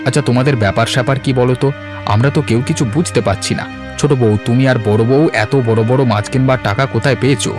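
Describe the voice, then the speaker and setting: A man's voice: mid-range voice, quick pace, over music, natural voice. A man voicing a young village husband in a cartoon, earnest, insistent and reasonable. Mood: inquiring. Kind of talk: cartoon voice acting.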